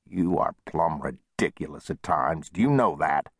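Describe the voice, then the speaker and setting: A man's voice: rumbling low, lively intonation, unhurried, breathy edge, no echo, studio quality, full character voice. A man voicing a wry, easygoing old frontier saloon owner with folksy, plain-spoken talk in a western audiobook. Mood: dry, exasperated.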